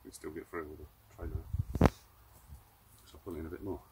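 A quiet-spoken man outdoors on rural land, dry and grumbling in tone. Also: rumbling low, little pitch movement, moderate pace. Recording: distant voice outdoors with loud noise.

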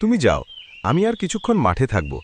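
A man's voice: medium pitch, fast talker, noisy background. Man doing a friendly village farmer, cartoon, voice swings up and down a lot. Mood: cheerful. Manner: friendly, animated. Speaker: farmer Faisal. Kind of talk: cartoon voice acting.

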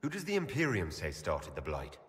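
A calm male elf leader in a video game, speaking in a measured, dryly understated way. His mid-pitched voice moves with lively inflection, and a long reverb hangs behind it. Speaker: player character leader.

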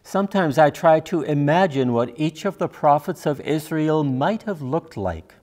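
Older man preaching, higher-pitched voice. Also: animated, moderate pace, darkish tone. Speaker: minister. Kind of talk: sermon, measured delivery. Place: church sanctuary, at a pulpit.

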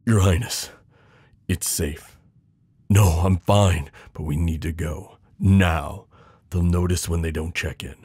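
A man playing a devoted, protective knight in an intimate fantasy romance audio roleplay, urgent and pleading with hushed reassurance. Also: deep voice, fairly even intonation, takes it slowly, slightly rough, exaggerated voice. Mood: tender, worried. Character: devoted protective male knight, desperate, tender lover.

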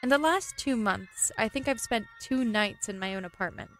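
A woman's voice: on the high side, moderate pace, a bit bright, faint music behind. An actress playing a playful young girlfriend in a radio play, with teasing, affectionate, conversational delivery. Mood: tentative. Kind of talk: radio play acting, intimate dialogue.

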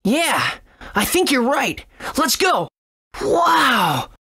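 A woman's voice: high voice, sing-song, extremely slow, pushed and bright, slightly rough, exaggerated voice. A woman voicing an eager, wide-eyed young boy hero in a video game, enthusiastic and adventurous. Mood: excited.

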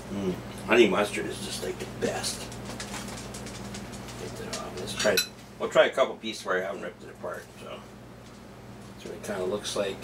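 A man's voice: low voice, gravelly. Chatty man vlogging from a home kitchen. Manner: chatty, offhand, rambling with restarts. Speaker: food vlogger. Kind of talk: casual cooking and tasting vlog. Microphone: camera mic set back in the room. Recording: distant camera mic with background noise.

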